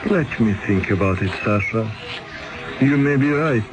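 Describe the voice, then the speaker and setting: An actor playing a grief-stricken, hopeless father in a radio drama, speaking haltingly. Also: fairly low pitch, expressive, poor audio. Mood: grieving. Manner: halting, stammering. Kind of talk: 1970s radio drama acting.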